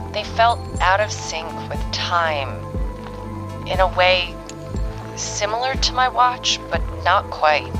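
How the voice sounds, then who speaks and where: Slow, halting female time agent played by a woman. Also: fairly low pitch. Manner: halting, hesitant, searching for words. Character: weary female time-travelling agent recording a field log. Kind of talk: improvised audio drama, first-person log.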